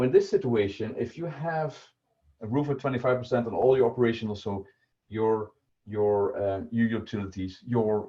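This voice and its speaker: A man's voice: lowish voice, mellow. A middle-aged man with a Dutch accent, explaining budgeting in a casual livestream with many fillers and restarts. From Netherlands. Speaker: personal finance presenter. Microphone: video call. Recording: muffled lo-fi video call audio.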